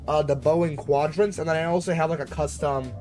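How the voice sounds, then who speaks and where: A man's voice: fairly high pitch, steady tone, a little fast, a little mellow, faint music behind. A man chatting casually as he live-streams a flight simulator, in a rambling, slangy, conversational way. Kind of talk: casual live-stream chat while gaming.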